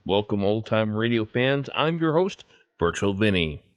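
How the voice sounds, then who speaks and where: Lively male podcast host, swooping pitch. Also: moderate pace, dry recording, dull audio. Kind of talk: scripted introduction.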